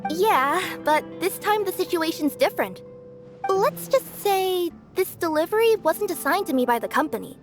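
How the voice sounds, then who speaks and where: High-voiced, friendly young courier. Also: female voice, animated, moderate pace, music in the background. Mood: earnest, explanatory. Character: friendly, conscientious young female delivery courier. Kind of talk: English video game voice acting.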